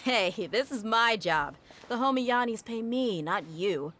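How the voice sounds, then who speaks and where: A woman playing a brusque, proud mercenary bodyguard in a video game, curt but expressive. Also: on the high side, a little slow. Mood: irritated, conceding. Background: music.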